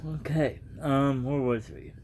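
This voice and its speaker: A man reading aloud and giving his reaction as a vlogger, in an informal, halting, rambling way. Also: mid-range voice, fairly even intonation, darkish tone, a little hiss or hum.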